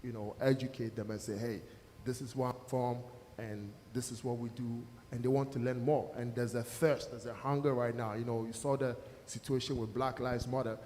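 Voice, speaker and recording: A man's voice: medium pitch, fairly even intonation, normal speed, darkish tone. A man from Ghana speaking as a panelist, telling stories earnestly. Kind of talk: panel discussion. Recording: long hall reverb, faint noise.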